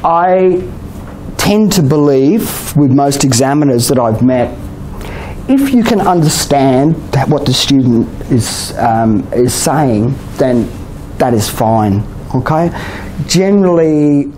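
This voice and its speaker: A man with an Australian accent teaches a live class in a casual, chatty way, tagging his points with quick questions to the room. His voice sits at a middle pitch, and light background noise runs under the recording. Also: expressive, a little mellow, poor audio. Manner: chatty, many "okay?" and "you know?" tags, laughs often. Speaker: IELTS teacher. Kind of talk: classroom lecture.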